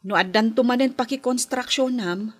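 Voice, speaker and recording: A woman's voice: on the high side, moderate pace, soft background music, close to an everyday voice. An actress playing a patient but firm, long-suffering wife and mother in an Ilocano radio drama, insistent and pleading.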